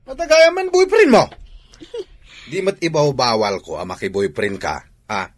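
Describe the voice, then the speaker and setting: An actor with an Ilocano accent playing a needy, wheedling father in a comedy drama, his voice husky. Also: a little high, very animated, measured pace. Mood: teasing, excitable. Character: father figure of the household, needy, wheedling comic relative.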